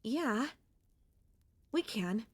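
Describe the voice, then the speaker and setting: A woman voicing a gentle, wistful and anxious young centipede monster girl in an ASMR audio roleplay, speaking softly and hesitantly in a conversational way. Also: a little high, big pitch swings, extremely slow, husky, no room sound, full character voice. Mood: calm, reminiscing.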